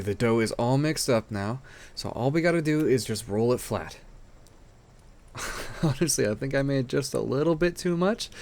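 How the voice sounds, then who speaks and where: A man playing an affectionate, playful and protective boyfriend in an intimate roleplay audio drama, talking in a tender, teasing, slightly stammering way. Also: mid-range voice.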